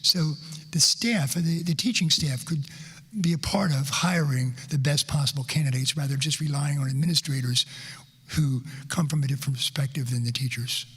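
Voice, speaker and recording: A man's voice: a little high, steady tone, moderate pace, a bit bright, breathy edge. A man running for school board, making pointed, argumentative debate points. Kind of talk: town hall debate statement. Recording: reverberant hall.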